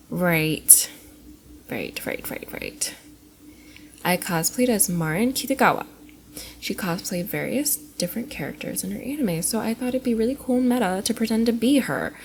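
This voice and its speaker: A woman playing a flustered, affectionate young cosplayer in an intimate ASMR roleplay, coy and giggly, often dropping into soft breathy murmurs. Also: medium pitch, slight background noise. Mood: chatty, wistful. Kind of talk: ASMR roleplay, intimate one-sided dialogue to the listener.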